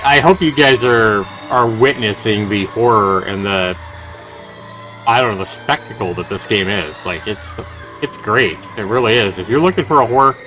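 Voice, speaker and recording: A man's voice: mid-range voice, fairly bright tone. A man livestreaming a game, commenting conversationally and emphatically and reading text aloud. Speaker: game streamer.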